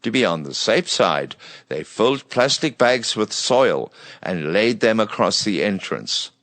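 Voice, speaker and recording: A man narrating a scripted bedtime story for children in an expressive read-aloud manner. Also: lowish voice, measured pace, dry recording.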